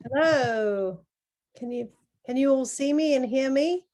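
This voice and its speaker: A middle-aged woman from Kenya talking informally as an artist presenting their work on a video call, explaining in a measured, earnest way. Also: sits high, a little mellow, a little hiss or hum. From grew up in Kenya. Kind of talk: informal talk presenting artwork to an online group.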